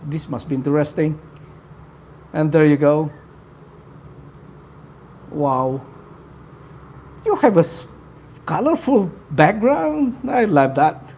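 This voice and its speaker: Mellow man chatting on a live stream. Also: on the high side, lively intonation, slight background noise. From Philippines. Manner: halting, full of fillers, friendly to viewers. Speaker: livestream host. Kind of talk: casual live-stream chat with viewers.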